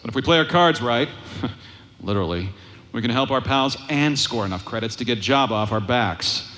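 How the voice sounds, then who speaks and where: Guy acting cocky space smuggler, sarcastic wisecracks, really animated bright voice, audio drama. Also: mid-range voice, normal speed, lightly acted. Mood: wry, self-assured. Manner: wisecracking, swaggering. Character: cocky, sarcastic roguish smuggler pilot, adult man.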